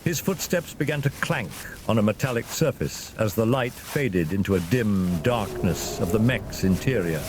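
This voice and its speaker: A man narrating a scripted fantasy story as a dramatic, expressive storyteller. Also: moderate pace, few pauses. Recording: loud ambient rumble behind narration.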